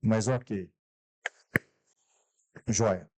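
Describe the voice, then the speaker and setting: Mineiro guy emceeing a company event, relaxed, talks right to the crowd, dry sound. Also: fairly low pitch, extremely slow, no echo. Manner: casual and chatty, talks to the audience directly. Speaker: event host. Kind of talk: live event hosting.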